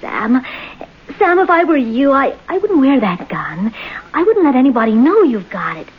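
A woman's voice: high voice, sing-song, moderate pace, breathy edge, full character voice. An actress playing a married man's tender, conspiratorial mistress in mid-century radio melodrama. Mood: concerned, conspiratorial. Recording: dull, lightly noisy vintage radio recording.

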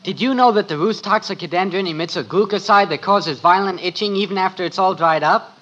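A man's voice: high-pitched, brisk, pushed and bright, faint music behind. A male performer playing a pedantic, eager American teenage son in a 1950s radio sitcom. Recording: muffled, crackly old radio recording.

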